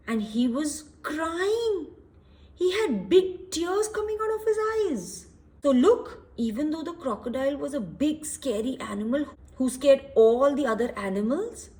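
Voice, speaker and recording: A woman's voice: high-pitched, darkish tone. A woman reading a story aloud to young children, expressively, explaining and asking questions with emphasis and repetition.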